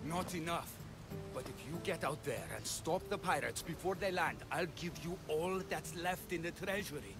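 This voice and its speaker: An actor playing the blunt, sardonic, exasperated leader of a threatened village in ancient Greece, in a video game cutscene. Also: male voice, fairly high pitch, moderate pace, over music, natural voice. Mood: urgent.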